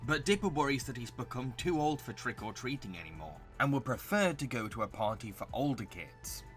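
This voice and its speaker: A man's voice: medium pitch, very animated, fairly quick, soft background music. A man reading scripted video essay narration as the host.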